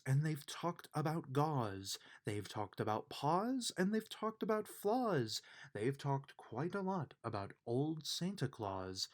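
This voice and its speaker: A man reading a rhyming children's bedtime book aloud in an expressive, sing-song way. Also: mid-range voice.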